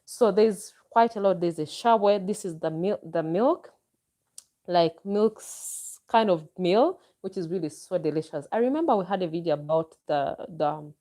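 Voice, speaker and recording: A woman's voice: on the low side, lively intonation, measured pace, mellow. A Ugandan woman vlogger chatting casually and enthusiastically as she answers viewer comments, with frequent exclamations. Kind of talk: casual live chat.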